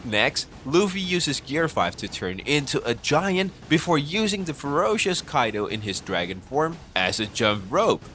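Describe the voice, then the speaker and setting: A man's voice: a little high, sing-song, a little slow, few pauses, bright, forceful tone. A man reading scripted narration for an explainer video, recorded as a voice-over. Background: background music.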